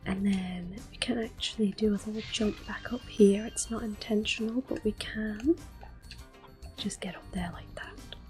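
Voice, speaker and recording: A woman giving soft-spoken ASMR game commentary in a gentle, breathy, often whispered murmur. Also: fairly high pitch. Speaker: gameplay host.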